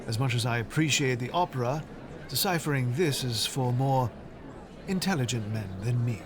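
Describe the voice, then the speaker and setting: A man's voice: mid-range voice, lively intonation, moderate pace, crowd noise, lightly acted. An actor playing an eager, polite young Englishman who talks in a rambling, enthusiastic way. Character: eager, polite young man, opera fan.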